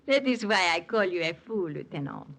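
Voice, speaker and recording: An actress playing an alluring, shrewd French woman in a 1940s radio drama, teasing in measured, formal English with a French accent. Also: fairly low pitch, expressive, a bit bright. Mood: teasing, coy.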